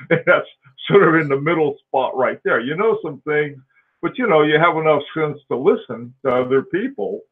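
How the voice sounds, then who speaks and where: Guy in his forties rambling on a live stream, kinda high voice, sounds like a phone line. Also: expressive, moderate pace, husky. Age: 40s. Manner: rambling, laughing often, with many fillers and restarts. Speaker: host. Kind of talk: casual live-stream chat.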